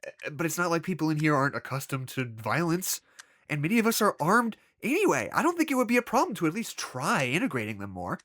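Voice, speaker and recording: An earnest, principled counsellor in an audio drama, played by a woman. The delivery is natural and a little hesitant, with dry wit and a very lively pitch, recorded close with no room sound. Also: fast, no echo, exaggerated voice. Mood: insistent. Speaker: voice actor.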